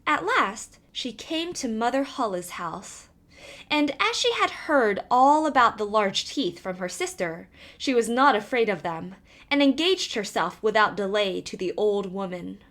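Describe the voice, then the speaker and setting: A woman's voice: a little high, very animated, unhurried, a bit bright. A woman reading a fairy tale aloud as scripted narration. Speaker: storyteller.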